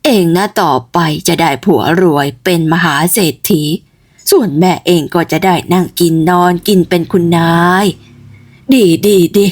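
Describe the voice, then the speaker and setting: Eccentric elderly village woman, performed by a female storyteller: dropped pitch, folksy, oracular, storybook style. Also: unhurried, a little mellow. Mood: prophesying. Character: eccentric old village madwoman, a fortune-telling granny. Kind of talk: storybook character voice.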